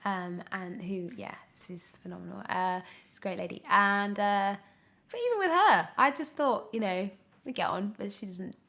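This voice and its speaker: A young woman chatting casually as an interview guest, telling her story in an animated, expressive way. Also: mid-range voice, normal speed, gravelly. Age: young adult. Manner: animated storytelling, acts out her own past thoughts. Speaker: podcast guest, radio drama actor.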